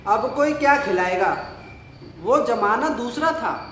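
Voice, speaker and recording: Man playing a slow, high-voiced old villager. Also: lively intonation, a bit bright, full character voice. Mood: grumbling. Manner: savouring, drawn-out reminiscing. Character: old poor villager father, nostalgic, boastful, grumbling. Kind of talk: Hindi audio play dialogue. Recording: roomy echo, faint noise, night crickets.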